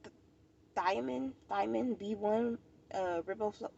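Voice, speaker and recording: A woman's voice: medium pitch, measured pace, creaky, mellow, lo-fi sound, slight background noise. An American woman vlogger with a stuffy nose, chatting casually and informally to the camera, rambling and repeating herself. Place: outdoors at a park.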